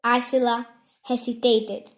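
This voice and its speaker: A woman reading a story aloud as scripted narration, measured, in short separated phrases. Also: fairly high pitch, level tone. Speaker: audiobook reader. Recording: roomy, echoing home recording.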